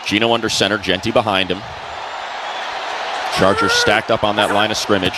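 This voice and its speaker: A man's voice: on the low side, level tone, moderate pace, over a noisy crowd. A man calling live football play-by-play on the radio in clipped phrases, following each play as it happens. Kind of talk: live radio sports commentary. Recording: noisy live stadium broadcast.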